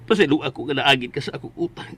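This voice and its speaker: A man's voice: medium pitch, racing, a bit bright, slightly rough. An actor playing a poor, protective older father in a Filipino radio drama, earnest, emotional and pleading. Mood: pleading, distressed. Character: poor, worried, protective older father, gentle but anguished. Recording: music bed behind.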